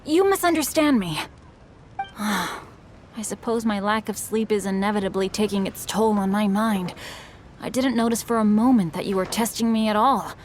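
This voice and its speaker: A woman's voice: mid-range voice, a little hiss or hum, close to an everyday voice. A woman playing a courteous young swordsman-author. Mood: flustered. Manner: courteous, formal and measured. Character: young male swordsman and novelist, polite and bookish. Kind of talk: video game voice acting.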